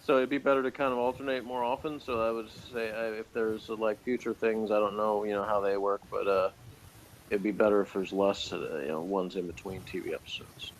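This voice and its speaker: A man chatting casually as a review co-host, speaking in a hesitant, halting way. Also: on the low side, level tone, a little hiss or hum, low-quality recording. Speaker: podcast co-host reviewing audio dramas.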